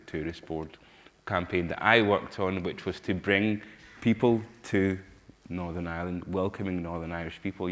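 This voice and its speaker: A middle-aged man from Scotland speaks with a broad Glaswegian accent. His voice sits very low, and he talks earnestly to a conference audience. He stops and restarts his sentences often. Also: fairly bright tone. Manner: earnest, halting, many restarts. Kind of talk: personal storytelling talk.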